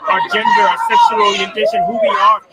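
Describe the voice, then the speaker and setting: A man in his forties from Pakistan, a physician, speaking in a measured, articulate way in an interview at an outdoor rally. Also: very high-pitched, wildly swooping pitch, over a noisy crowd. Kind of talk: street interview. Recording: loud street noise.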